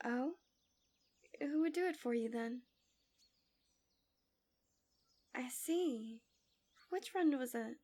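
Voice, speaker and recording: A woman voicing a gentle, coaxing, subtly manipulative fantasy villain in an intimate ASMR roleplay, soft and breathy, often near a whisper, close to the mic. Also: high voice, expressive, slow, full character voice. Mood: coaxing, softly probing. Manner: soothing, doting, teasing.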